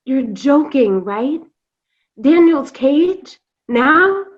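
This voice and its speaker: A woman's voice: high voice, animated, extremely slow, full character voice. A woman playing a blunt, sarcastic, exasperated lion tamer who runs a circus, in a staged play reading. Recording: dull video-call audio.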